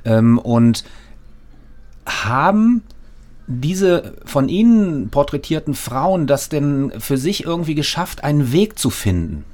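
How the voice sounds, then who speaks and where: A man's voice: on the high side, very animated, takes it slowly, a little mellow. A man interviewing a guest at a studio mic, asking long, winding questions full of fillers.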